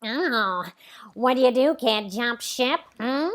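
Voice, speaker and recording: Lady doing a grumpy old-time diner guy, lowered voice, slow and curt. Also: lively intonation. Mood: gruff, impatient. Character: gruff, grumpy 1950s diner counterman. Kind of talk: comedic impression at a table read.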